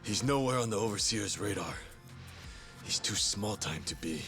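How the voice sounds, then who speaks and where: A guarded, grim video game tough guy. His voice is very deep and his delivery terse and matter-of-fact, set over clearly heard music. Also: lively intonation, normal speed, a bit bright. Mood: grim explaining. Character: grim, guarded tough man, seasoned underworld insider. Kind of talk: video game cutscene acting. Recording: music under the voice.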